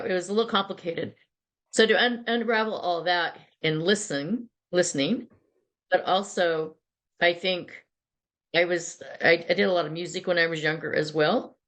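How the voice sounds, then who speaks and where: Low, gravelly-voiced woman telling stories. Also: a bit bright. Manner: chatty, many restarts and asides. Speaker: interview guest, PR agency founder. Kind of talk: casual interview storytelling.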